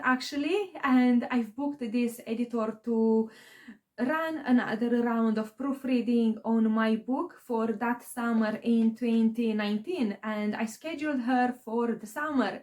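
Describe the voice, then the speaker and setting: A woman's voice: on the high side, a little mellow. A woman in her thirties from Romania with a Romanian accent, English as her second language, chatting casually about her writing journey with lots of fillers and restarts. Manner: rambling, many fillers and restarts. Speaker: aspiring novelist vlogger. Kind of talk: casual personal vlog talk.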